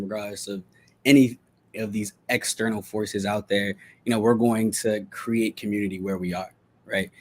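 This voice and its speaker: A man with an American accent and a fairly low voice talks as a guest in an interview. He explains his views earnestly and conversationally, like a journalist walking someone through what he has learned. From Delaware, United States.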